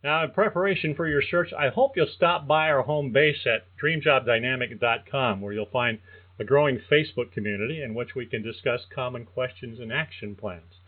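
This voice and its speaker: An older man hosting a career-coaching podcast, reading a prepared monologue in a measured, earnest way. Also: mid-range voice. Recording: thin phone-like sound.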